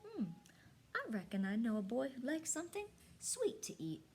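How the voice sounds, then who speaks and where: A woman voicing a kindly, gently teasing Southern grandmother in a storybook, folksy and coaxing. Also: high-pitched, sing-song, moderate pace, a little hiss or hum, pitched above the performer's own voice. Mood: playful. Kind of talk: storybook read-aloud.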